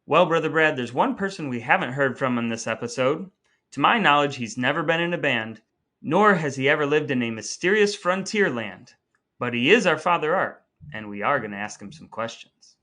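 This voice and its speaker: A man's voice: a little high, animated, normal speed, pushed and bright. A man co-hosting a podcast in casual, humorous chat, with a wry, mock-serious way of talking. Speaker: podcast co-host.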